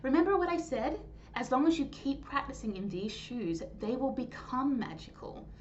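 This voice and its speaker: Kind, wise grandmother for a storybook, read by a woman, reassuring, expressive pitch, faintly noisy recording. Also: moderate pace. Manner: gentle, reassuring, patient. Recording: dull lo-fi sound, faint noise.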